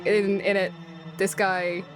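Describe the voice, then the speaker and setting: A woman's voice: mid-range voice, level tone, slow pace, over loud music. An Irish woman chatting casually as a guest, telling stories in a wry, self-deprecating way. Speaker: podcast guest. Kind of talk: casual storytelling chat.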